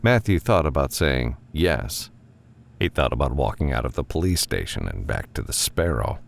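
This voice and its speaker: A man's voice: a bass voice, very animated, normal speed, creaky. A man reading scripted fiction as an audiobook narrator.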